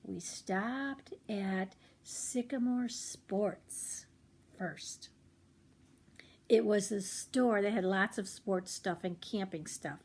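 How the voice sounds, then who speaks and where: Husky older woman reading a children's storybook. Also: medium pitch, drawn-out words, a little mellow. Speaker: children's read-aloud host. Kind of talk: expressive storybook narration with chatty asides to children.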